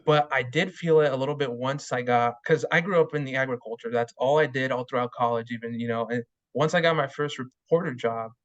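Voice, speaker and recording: A man's voice: mid-range voice, brisk, hardly any pauses, no room sound. Reflective man, muffled lo-fi sound. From Washington state, USA. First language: Spanish. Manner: casual, reflective, lots of "you know". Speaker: journalist panelist. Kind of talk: panel discussion.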